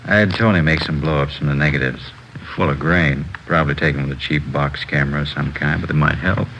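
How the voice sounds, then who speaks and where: An actor playing a methodical, plainspoken police records lieutenant in a 1950s radio drama. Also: male voice, a bass voice, quick pace, fairly bright tone. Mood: measured. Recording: dull, faintly noisy vintage radio recording.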